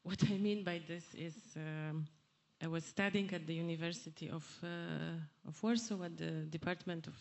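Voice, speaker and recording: A woman asking a question at a public event, speaking haltingly with many fillers and restarts. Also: on the low side, fairly even intonation, gravelly, a little mellow. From Poland. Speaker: audience member asking a question. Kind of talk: hesitant public remarks. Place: theatre hall at a public event.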